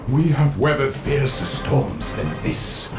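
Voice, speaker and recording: A man playing a pious, fierce space warrior chaplain in a game cutscene, with a booming, oratorical manner. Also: big pitch swings, takes it slowly, over music. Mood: battle fury. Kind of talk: scripted game cutscene acting.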